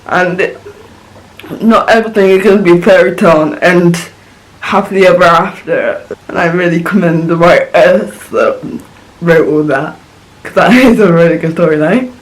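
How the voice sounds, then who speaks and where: Man, fairly high voice, casual vlog chat, rambling and gushing. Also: normal speed, poor audio. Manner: rambling, searching for words, gushing. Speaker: vlogger reviewing a film. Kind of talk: casual film reaction talk.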